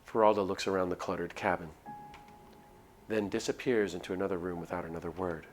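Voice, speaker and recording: A man's voice: low voice, steady tone, a little fast. A man reading a visual novel aloud as a streamer, in dramatic storytelling narration with casual asides. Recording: music under the voice. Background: music.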